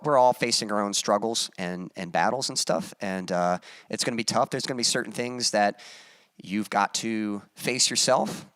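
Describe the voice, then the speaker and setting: Guy streaming, lowish voice, super dry close sound, laid back and chatty with lots of ums. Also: no room sound. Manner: relaxed, halting, many fillers, reads chat aloud. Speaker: musician hosting a live stream. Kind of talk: casual live-stream chat reading comments.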